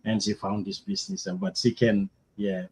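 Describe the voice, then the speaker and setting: A man's voice: fairly low pitch, flat delivery, moderate pace, slightly rough, poor audio. Hesitant man. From Papua New Guinea. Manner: hesitant, many ums, laughs easily. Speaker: guest, former electrician. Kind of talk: interview answers. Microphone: video call.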